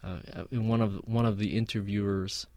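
Low-voiced man recounting interviews. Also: little pitch movement, darkish tone, no room sound. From Palestinian background. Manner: measured, reflective storytelling with fillers. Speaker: guest. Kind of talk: conversational interview.

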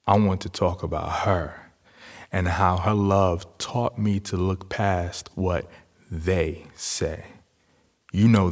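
An actor playing an earnest activist man in love, delivering a poetic, deliberate dramatic monologue for a radio play. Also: fairly low pitch. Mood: tender, reflective. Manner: deliberate, poetic phrasing with weighty pauses. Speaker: lead actor in a stage-style audio play. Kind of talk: dramatic spoken-word monologue.

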